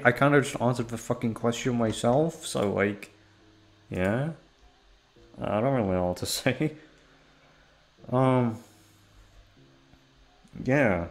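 A young man rambling in a casual chat, swearing often and jumping into high-pitched exclamations. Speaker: audio roleplay creator.

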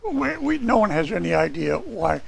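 A man in his sixties with a hoarse, raspy voice, preaching a sermon and reading scripture in a small church hall. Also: high-pitched, very animated, normal speed. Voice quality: raspy, gravelly. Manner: gesturing, reading scripture aloud from papers. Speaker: pastor.

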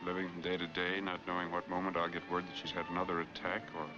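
Guy acting brooding married man in a 40s noir, deep voice, muffled old film track. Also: completely flat delivery, quick pace, lightly acted. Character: brooding, self-pitying married man seeking companionship. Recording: dull 1940s film soundtrack, music behind.

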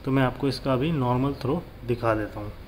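A man's voice: medium pitch, a little fast, low-quality recording. A man with an Indian accent narrating a casual vlog, informally mixing English phrases into his speech. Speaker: motorcycle vlogger. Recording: clear background noise, ambient sound.

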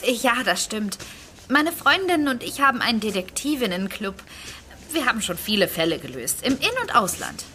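A woman's voice: fairly high pitch, big pitch swings, normal speed, pushed and bright, a little hiss or hum. An actress playing an eager, earnest, confident teenage girl detective in an audio drama.

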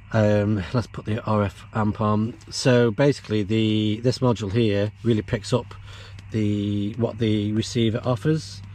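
Low-voiced man, casual vlogging. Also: a little mellow. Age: middle-aged. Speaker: vlogger demonstrating off-grid radio gear. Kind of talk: casual explanatory vlog. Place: outdoors in a windy field and inside a canvas tent.

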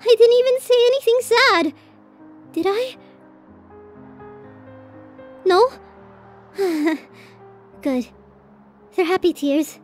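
A woman's voice: extremely high pitch, heavily acted. A woman voicing a bashful, tender tsundere college girlfriend in a romantic ASMR roleplay, flustered and hesitant as she swings between protest and affection. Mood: tender, affectionate.

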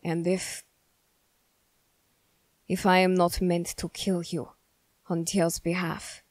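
This is a stern, guarded young soldier of the snowy north , acted by a woman in an ASMR roleplay. The voice sits on the low side and stays very flat. The words come very slowly, in formal, halting phrases spoken straight to the listener. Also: no echo. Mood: grave, threatening. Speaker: roleplay voice performer.

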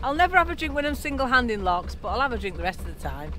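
A woman chatting casually to camera from a canal narrowboat, cheeky and quick to laugh. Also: on the high side, big pitch swings, noisy background. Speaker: narrowboat vlogger. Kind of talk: casual vlog chat. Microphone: action camera at arm's length.

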